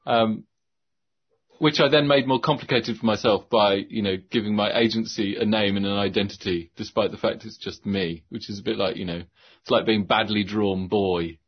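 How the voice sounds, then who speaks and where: Man, podcast guest, husky voice, low pitch, reflective and hesitant. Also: steady tone, normal speed. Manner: reflective, hesitant, laughs lightly. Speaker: guest, copywriter. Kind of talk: casual conversation. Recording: muffled lo-fi audio.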